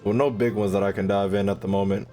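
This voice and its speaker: A man's voice: lowish voice, level tone, quick pace, mellow. Slangy male game streamer over faint music. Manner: slangy, joking, swearing. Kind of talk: casual live gameplay commentary.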